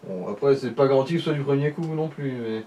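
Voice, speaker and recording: A man's voice: medium pitch, fast talker, mellow. A man chatting casually as a co-commentator on a video game let's play. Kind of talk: casual chat. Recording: faint background noise.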